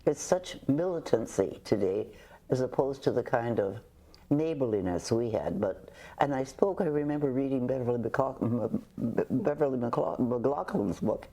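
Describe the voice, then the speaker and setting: Older woman, interview guest, husky and mellow, halting storyteller. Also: very deep voice, animated. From Canada. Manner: halting, with frequent restarts and hesitations. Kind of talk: reflective conversational storytelling.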